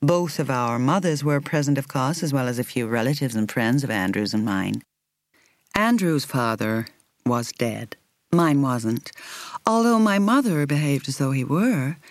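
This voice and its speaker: A woman reading a first-person novel as an audiobook narrator, in a measured, reflective, carefully articulated way. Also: extremely low pitch, lively intonation, no echo.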